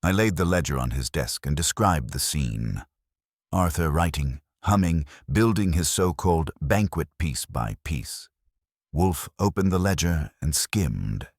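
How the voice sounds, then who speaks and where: A man's voice: extremely low pitch, lively intonation, a little slow, no echo, clean studio sound. A man reading a first-person detective story as an audiobook narrator.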